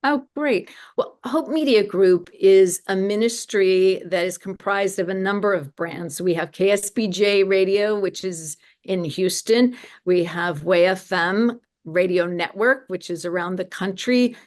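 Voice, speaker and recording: Woman guest on an interview show, mid-pitched, earnest and keen, pitching a project. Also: a little slow. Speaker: guest, media ministry representative. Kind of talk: promotional interview talk.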